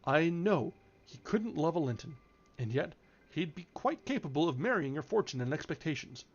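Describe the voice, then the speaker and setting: A man voicing an imperious, sharp-tongued married woman from a novel, speaking in haughty, emphatic declamation. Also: on the high side, very animated, moderate pace, slightly rough, muffled recording, exaggerated voice. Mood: emphatic warning.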